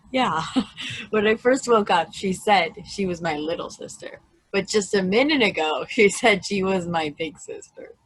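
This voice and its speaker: Woman acting a shy, husky-voiced young space probe. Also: mid-range voice, lively intonation, no room sound, rough recording. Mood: giggly, amused. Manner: halting, hesitant, earnest. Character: newly awakened female space probe, shy, awkward, childlike curiosity. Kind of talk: stageplay table read.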